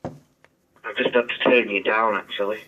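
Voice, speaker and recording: A man's voice: on the low side, steady tone, measured pace, pushed and bright, lo-fi sound. A man chatting casually and agreeably as an amateur radio operator, heard through a two-way digital radio. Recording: harsh radio speaker, faint room noise.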